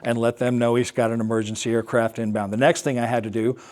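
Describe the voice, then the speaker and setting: An American man giving a personal talk, recounting his experience as an air traffic controller in a halting, explanatory way with pauses. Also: mid-range voice, level tone, darkish tone. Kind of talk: personal storytelling talk to an assembly.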